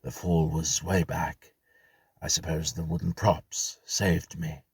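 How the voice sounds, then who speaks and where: A composed man speaks quietly and evenly in a deep, gravelly voice, as a narrator reads his part. The voice is slow and very husky, and the sound is dry and close. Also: level tone, no echo, exaggerated voice. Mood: calm. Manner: quiet, measured. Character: calm, composed older man.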